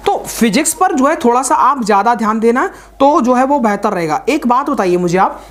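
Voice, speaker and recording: A woman giving informal exam coaching, speaking directly to students and repeating points for emphasis. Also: on the high side. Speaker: exam-prep teacher.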